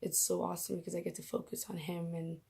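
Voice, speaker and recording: A young woman vlogging from her bedroom while doing her makeup, chatty and rambling, her voice raspy as she comes down with a cold. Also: fairly low pitch, little pitch movement, fast talker, dark tone. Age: young adult. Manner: chatty, rambling, laughs at herself. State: coming down with a cold. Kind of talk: casual faith vlog.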